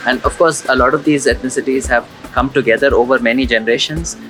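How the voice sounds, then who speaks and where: A man's voice: medium pitch, steady tone, fast, fairly bright tone. Man vlogging casually, music behind. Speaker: travel vlogger. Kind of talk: casual walking travel commentary. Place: outdoors on city streets and waterfront.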